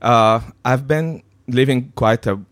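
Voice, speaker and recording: A man's voice: mid-range voice, slow pace, no echo. A man from Egypt in his thirties, a podcast guest who talks hesitantly with many fillers and restarts. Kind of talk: conversational interview.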